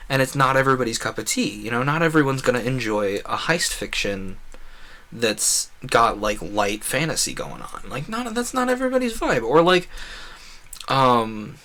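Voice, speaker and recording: Bright, forceful man chatting on a livestream. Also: mid-range voice, big pitch swings, moderate pace. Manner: opinionated, earnest, often voices imagined quotes. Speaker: livestream host. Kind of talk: casual rambling gaming livestream chat.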